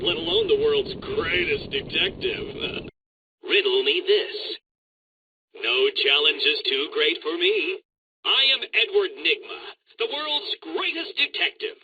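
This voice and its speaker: Manic pompous game villain, super high voice swooping everywhere, taunting, muffled noisy audio. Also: male voice, bright, forceful tone, husky, exaggerated voice. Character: gloating egomaniac male villain, manic riddle-posing genius. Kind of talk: video game voice acting. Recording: muffled comms audio over noisy ambience.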